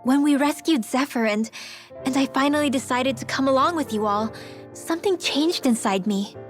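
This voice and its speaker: A young girl mage from a fantasy video game, acted by a woman, speaks in a high, youthful voice. She is earnest and open-hearted with a stubborn streak. Soft music plays behind her. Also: normal speed, close to an everyday voice. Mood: earnest, reflective. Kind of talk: video game cutscene voice acting.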